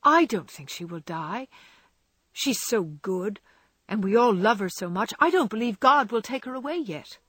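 A woman voicing a kind, loyal young man who talks gently and often drops to a whisper. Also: on the low side, expressive, moderate pace, dry recording. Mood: reassuring.

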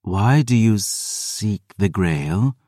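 A man's voice: fairly low pitch, expressive, very slow, warm tone, no room sound, clean studio sound, heavily acted. A man voicing a young vampire woman who is cold, defiant and bent on vengeance.

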